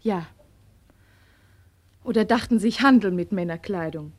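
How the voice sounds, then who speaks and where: An actress playing a bossy, teasing but tender-hearted soldier's wife in a post-war radio play, with a brisk, mocking way of talking. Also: female voice, medium pitch, close to an everyday voice. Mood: curt, bitter.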